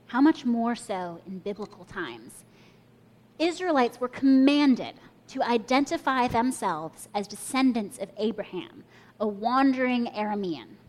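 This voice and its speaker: Reflective woman preaching a sermon. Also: a little high. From Kenya and the US. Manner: reflective storytelling, invites listeners to imagine.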